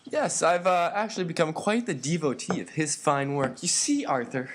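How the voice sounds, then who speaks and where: A man's voice: a little high, normal speed, slight background noise, close to an everyday voice. A man playing an arrogant rich businessman in a 1920s radio drama, speaking in haughty, formal phrasing. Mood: pompous.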